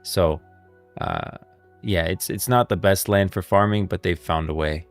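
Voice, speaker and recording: Low-voiced man streaming, faint music behind. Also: gravelly. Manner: rambling, thinking aloud, pauses to read out lines. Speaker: streamer and game lore commentator. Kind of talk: casual live stream commentary.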